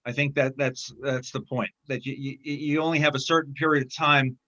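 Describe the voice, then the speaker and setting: A man speaking as a guest in a casual interview, talking haltingly with many fillers and restarts. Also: medium pitch, little pitch movement, bright, forceful tone, dull audio. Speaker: film distributor, interview guest.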